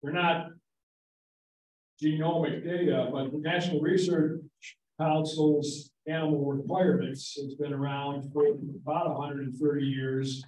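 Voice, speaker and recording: Man, audience comment, higher mellow voice, very echoey. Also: level tone, measured pace. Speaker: audience member. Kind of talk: question from the floor. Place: conference room. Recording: echoey hall from far off.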